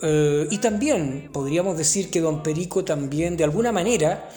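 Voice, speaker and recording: A woman's voice: low-pitched, lively intonation, moderate pace, mellow. A woman hosting a mock talk-show interview, mock-formal and full of hesitant fillers. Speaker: host.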